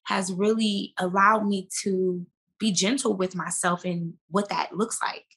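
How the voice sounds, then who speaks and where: A woman speaking as an actress guest in a casual interview, emphatic and animated as she tells stories. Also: medium pitch, measured pace, husky.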